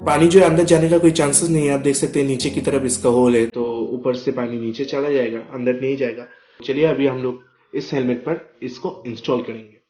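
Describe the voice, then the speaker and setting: A man's voice: mid-range voice, fairly even intonation, brisk, dark tone, low-quality recording. A man giving a casual, step-by-step hands-on product tutorial, often checking that the listener follows. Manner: explains step by step with frequent check-in words. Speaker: product reviewer vlogger.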